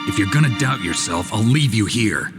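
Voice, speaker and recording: A man playing a tough, stoic soldier hero in a video game, gruff, blunt and terse. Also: on the low side, lively intonation, moderate pace. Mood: stern. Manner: terse, clipped. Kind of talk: dramatic video game voice acting. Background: loud music.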